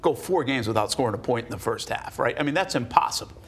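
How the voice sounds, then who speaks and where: A man's voice: medium pitch, animated, quick pace. A man with a Rhode Island accent, co-hosting a sports podcast and giving opinionated, story-driven football analysis in casual talk.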